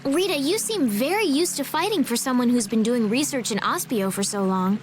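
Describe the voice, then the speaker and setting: A woman playing a polite, bookish young noblewoman in a video game, speaking in an earnest, gentle and formal way. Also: on the high side, lively intonation, normal speed, faint music behind, close to an everyday voice. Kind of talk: English video game voice acting.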